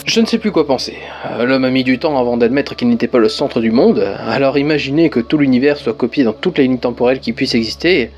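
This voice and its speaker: A man's voice: medium pitch, animated, fast talker, hardly any pauses, a little hiss or hum, natural voice. A man acting in an audio drama as a bewildered member of the public giving an opinion. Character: bewildered man overwhelmed by a new invention. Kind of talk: acted vox pop in audio drama.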